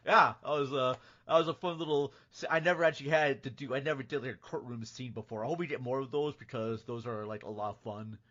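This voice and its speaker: A podcast host in relaxed conversation with a guest. The style is casual and unscripted. The audio is somewhat muffled and lo-fi. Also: mid-range voice, fast talker, few pauses. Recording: dull lo-fi audio.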